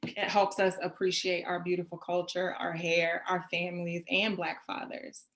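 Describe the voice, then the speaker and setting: Female event host, slightly low voice, effusive and appreciative. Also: level tone, moderate pace, a bit bright. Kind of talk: hosting a virtual event.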